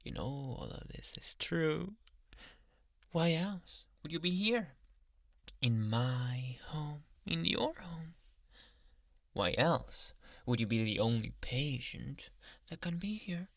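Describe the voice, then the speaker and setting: A man acts out a young yandere doctor in an intimate ASMR roleplay, doting and sweetly menacing. His delivery is slow and creaky, drifting between breathy murmurs and whispers. It is recorded close and very dry, like a captor speaking right into the ear. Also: fairly high pitch, lively intonation, no echo, heavily acted. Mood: smug, adoring. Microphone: close microphone.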